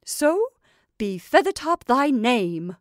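A woman voicing a doting, sharp-tongued old witch with a high, sharp tone. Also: sing-song, takes it slowly, no echo, studio quality, exaggerated voice. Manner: fond and coaxing, archaic speech.

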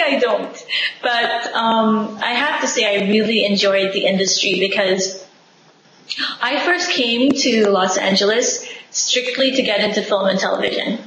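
A young woman from Singapore talking as an interview guest over a video call from her living room, enthusiastic and expressive. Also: a little high. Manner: enthusiastic, expressive, laughs often. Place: home living room, indoors. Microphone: video call webcam. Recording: echoey room, faint background noise.

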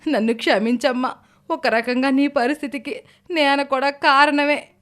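A woman's voice: high-pitched, fairly even intonation, normal speed, a bit bright. Woman playing an earnest older mother-in-law. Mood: remorseful, caring. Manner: earnest, reassuring.

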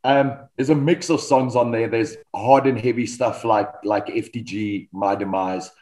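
A man's voice: mid-range voice, a little slow. South African man talking through a video call. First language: Afrikaans. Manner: relaxed storytelling, frequent ums and restarts. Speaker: metal band vocalist, guest. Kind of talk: casual video-call interview. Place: home studio with band posters. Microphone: desk microphone on video call.

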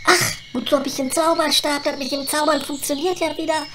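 Woman playing a high-voiced feisty elf. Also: fairly even intonation, fairly quick, a bit bright. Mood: determined. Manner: blunt, colloquial, exclamatory. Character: brash, feisty, grumbling female elf. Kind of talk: comedy audio drama. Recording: clearly noisy background.

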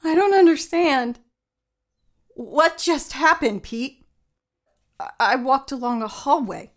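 An actress playing a bewildered, insistent woman in an audio drama, questioning urgently and repeating herself in halting bursts. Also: sits high. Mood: bewildered, shaken. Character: bewildered, insistent wife stranded in a strange world.

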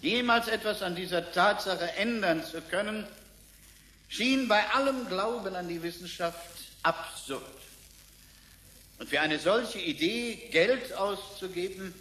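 A man's voice: sits high, unhurried, pushed and bright. An actor playing an eminent male physicist giving a pompous, declamatory public address in a radio drama, in an echoing hall. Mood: grave, pompous. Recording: echoing hall, faint noise.

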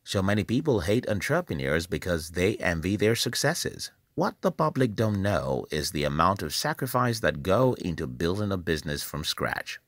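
Man, lively low voice-over. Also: no room sound, studio quality. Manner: measured, emphatic, preacherly. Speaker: channel host. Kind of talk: scripted motivational voice-over.

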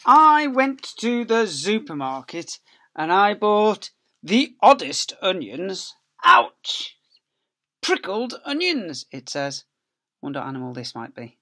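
A man's voice: high-pitched, wildly swooping pitch, slow, bright, forceful tone. A middle-aged male teacher reading a picture book aloud to young children, playfully stretching key words and making sound effects, recorded on a laptop webcam in a small office. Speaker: school teacher. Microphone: laptop webcam at close range.

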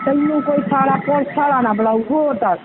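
A woman's voice: high voice, brisk. A woman with a Malwa Punjabi accent talking casually and assertively on a phone call, insisting on being heard. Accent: Punjabi, Malwa region. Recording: noisy, tinny phone call recording.

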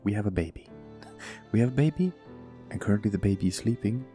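A male podcast co-host with a booming voice, talking softly and slowly right next to the microphone in casual chat, often chuckling. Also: lively intonation, faint music behind. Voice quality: booming, gravelly voice. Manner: soft, close-mic murmur, chuckles often. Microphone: close studio mic.

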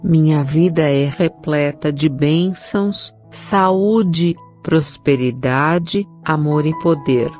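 A woman with a Brazilian Portuguese accent reading psalms, mantras and blessings as a solemn, measured prayer reader. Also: deep voice, a little mellow, faint music behind. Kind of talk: slow scripted prayer reading.

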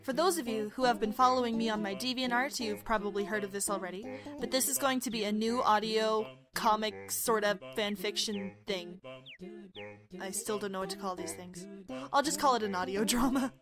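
A woman's voice: medium pitch, brisk, crowd noise. A woman chatting casually to her followers as the creator of an audio drama.

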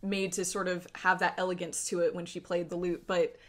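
A woman's voice: fairly low pitch, little pitch movement, fairly quick. Woman game master narrating a tabletop game.

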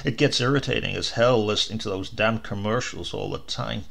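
Man playing worn-out husband, irritable, brooding, heavy vocal fry, low tone, storybook narration. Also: a little fast, a bit bright, heavily acted. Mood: irritated. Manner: sighing, grumbling. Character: weary, irritable working husband, brooding about machines. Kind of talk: dramatised short-story reading.

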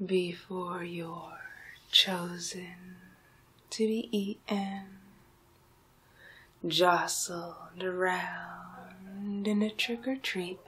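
A young adult woman performing an ASMR roleplay as a magical enchantress, whispering breathily in a hypnotic, drawn-out way. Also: lowish voice, a little hiss or hum, poor audio. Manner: slow, hypnotic, drawn-out phrasing. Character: magical enchantress turning listener into Halloween candy.

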